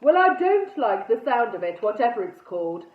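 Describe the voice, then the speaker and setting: A woman's voice: on the high side, sing-song, normal speed, recorded from a distance. A woman voicing a pompous old male ghost knight in armour for a children's story, with formal, indignant delivery. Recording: phone-like thin sound, roomy echo.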